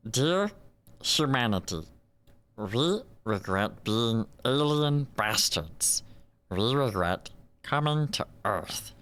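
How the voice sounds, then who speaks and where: A man's voice: medium pitch, sing-song, slow, a bit bright. A man voicing a mock-formal, theatrical character who reads out a scripted comic monologue. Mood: mock solemn.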